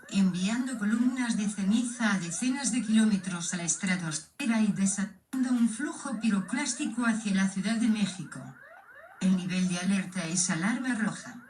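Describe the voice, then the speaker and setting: A woman reading a formal scripted civil emergency message in a measured, impersonal manner. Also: mid-range voice, darkish tone. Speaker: emergency alert announcer. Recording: echoey, distant, faintly noisy playback.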